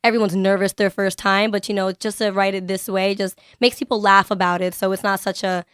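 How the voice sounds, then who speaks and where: A young woman with a smooth, clear voice talks casually as a guest, close and dry with no room sound. She is giggly and pokes fun at herself as she goes. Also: medium pitch, flat delivery, brisk, no room sound. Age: young adult. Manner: giggly, self-deprecating. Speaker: guest, student writer discussing own story. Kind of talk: casual conversational interview.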